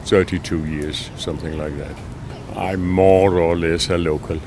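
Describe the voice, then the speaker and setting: Older danish guy, really deep voice, matter of fact, street noise clear behind. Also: fairly even intonation, a little slow. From Denmark. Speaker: interviewee, long-time expat.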